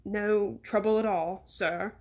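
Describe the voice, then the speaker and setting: A woman's voice: mid-range voice, fairly even intonation, takes it slowly, darkish tone, natural voice. A woman voicing a tiny, obedient male chauffeur in a storybook, deferential and terse. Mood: meek, obedient.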